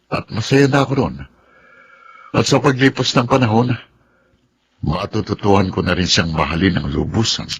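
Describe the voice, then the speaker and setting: A man acting in a Filipino radio drama as a gentle, resigned middle-aged father. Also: lowish voice, normal speed, vocal fry, long pauses, slight background noise. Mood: resigned, tender.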